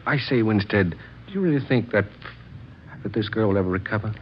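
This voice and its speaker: An actor playing a concerned, hesitant gentleman friend, polite and genteel in old-time radio style, on a worn old recording. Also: lowish voice, brisk, muffled recording, slight background noise, lightly acted.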